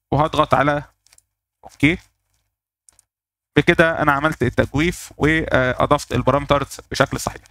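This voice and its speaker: A man with an Egyptian Arabic accent walking through a software tutorial step by step, pausing on fillers as he works. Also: medium pitch. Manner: explains step by step, thinking aloud with fillers. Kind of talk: screen-recorded software tutorial.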